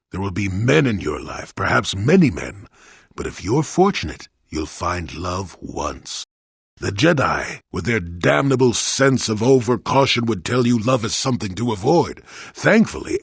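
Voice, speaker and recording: A man playing a crotchety, wry old hermit in a video game, with a gravelly, husky voice and a sardonic storyteller's manner. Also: fairly low pitch, dry recording, clean studio sound, heavily acted. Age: older. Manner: cantankerous, sardonic, rambling storyteller. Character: crotchety old male hermit, former Jedi, wry and weary. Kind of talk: video game character acting.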